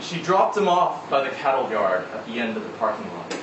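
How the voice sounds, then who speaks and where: A man reading a literary short story aloud at a live event in a measured, expressive way. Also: mid-range voice, dull audio. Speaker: story reader. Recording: roomy, distant, noisy live event recording.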